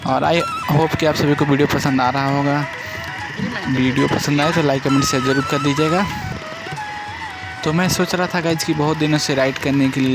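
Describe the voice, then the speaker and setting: Young man, chatty vlog narration, on a moving motorbike, loud music behind. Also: on the high side. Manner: chatty, repeatedly asks viewers to like and subscribe. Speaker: vlogger. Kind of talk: casual travel narration. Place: riding a motorbike through city streets at night. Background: loud background music.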